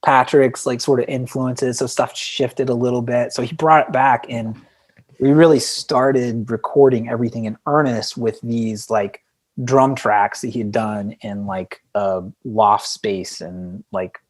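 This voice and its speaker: A man's voice: mid-range voice, fairly even intonation, a little slow. Reflective, rambling man in casual conversation. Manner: rambling, reflective, self-deprecating. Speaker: podcast guest, indie band frontman.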